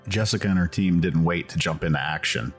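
A man hosting and narrating a documentary podcast in a measured, earnest, scripted delivery. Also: low-pitched, breathy edge, soft background music.

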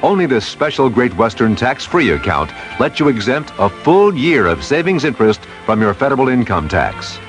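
An American man announces a scripted radio commercial. His mid-pitched voice moves in a lively way, with faint music behind it. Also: moderate pace, few pauses.

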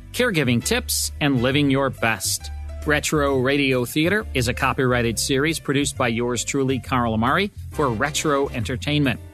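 Mid-pitched podcast host over music. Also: male voice, a bit bright. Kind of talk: scripted show outro.

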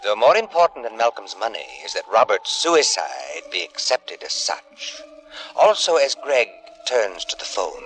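A man's voice: fairly low pitch, sing-song, measured pace, a bit bright, rough recording. A man playing a gloating, mockingly courteous devil who narrates a 1950s radio drama in a taunting, theatrical manner. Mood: sly, insinuating. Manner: mock-sympathetic, taunting, theatrical. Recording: vintage radio recording with music.

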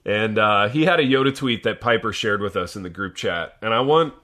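Male podcast host, lowish and very bright voice, slangy, joking, dry sarcasm. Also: expressive, fairly quick. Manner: jokey, slangy, addresses co-hosts as dude and baby. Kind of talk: casual podcast banter.

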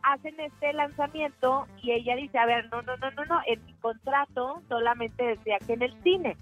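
A woman's voice: high voice, normal speed, a bit bright, muffled recording, soft background music. A woman presenting a showbiz gossip segment on radio, chatty and opinionated. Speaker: entertainment news reporter.

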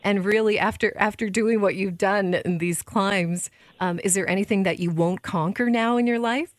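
A woman's voice: mid-range voice, moderate pace, no echo. A woman podcast host putting questions to a guest in a playful, articulate, casual way.

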